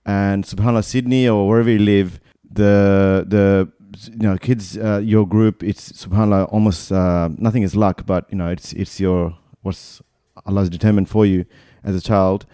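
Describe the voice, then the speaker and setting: Deep, mellow man, meandering talk. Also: fairly even intonation, moderate pace, few pauses, clear, resonant tone, no echo, clean studio sound. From Bangladesh. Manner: thoughtful, meandering, self-correcting. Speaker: podcast guest. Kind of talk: casual conversation.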